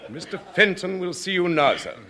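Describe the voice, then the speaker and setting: An unflappable, formal butler in a 1950s British radio sitcom. His rather high voice moves grandly up and down, through clear vintage recording noise. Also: very animated, moderate pace. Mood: deferential. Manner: stiffly formal, deferential.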